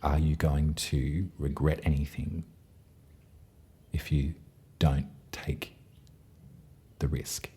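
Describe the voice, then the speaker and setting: A man's voice: rumbling low, flat delivery, slow pace, long pauses, dark tone, breathy edge, full character voice. A man voicing a stern, probing tarot card. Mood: challenging.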